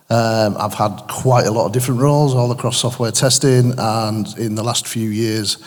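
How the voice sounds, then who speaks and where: A man from Yorkshire in the UK, a software tester, introducing himself fluently and steadily on a conference panel. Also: fairly low pitch. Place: conference stage in a large hall. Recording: long hall reverb.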